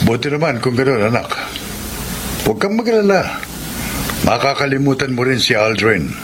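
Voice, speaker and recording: A man's voice: mid-range voice, animated, normal speed, very noisy, close to an everyday voice. A man playing a probing, reassuring middle-aged father in a radio drama, with a Filipino accent.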